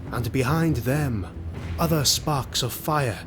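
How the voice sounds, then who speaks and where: A man narrating a fantasy audio drama in a measured, suspenseful scripted style. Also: mid-range voice. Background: music.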